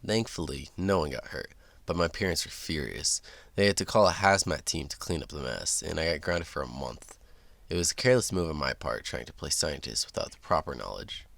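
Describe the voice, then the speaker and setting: A man's voice: rumbling low, expressive, fairly quick, vocal fry, fairly bright tone, dry recording, voice pushed down, heavily acted. A man voicing a nerdy talking duck sidekick who recites trivia matter-of-factly for a children's read-aloud show. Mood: sheepish storytelling. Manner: nerdy, matter-of-fact fact-dropping.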